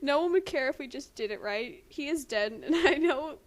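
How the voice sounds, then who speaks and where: A woman's voice: sits high, animated, moderate pace. A giggly woman doing an amateur dramatic reading with casual commentary, often breaking into laughter. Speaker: co-reader and commentator. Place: at home in a house. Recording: noisy home recording with clicks.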